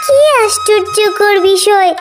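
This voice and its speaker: Tiny sweet sad fox girl for a kids cartoon, super high childlike voice, music behind. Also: slow pace. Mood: wonder, delight. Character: gentle, sorrowful young orphan fox girl. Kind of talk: Bangla children's cartoon dubbing.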